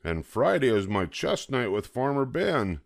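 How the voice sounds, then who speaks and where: A man voicing a gruff, grumpy father bear who roars at his cubs in a storybook reading. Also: mid-range voice, very animated, a little slow, no room sound, clean studio sound, pitched above the performer's own voice. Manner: blustery roaring. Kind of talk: storybook read-aloud.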